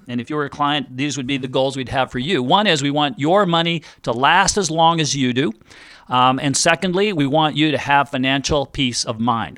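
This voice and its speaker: A man's voice: a little high, fairly bright tone, smooth, dry recording. A middle-aged man hosting a financial advice radio show, talking in an upbeat, chatty salesman style and joking along the way. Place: radio studio. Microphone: studio microphone.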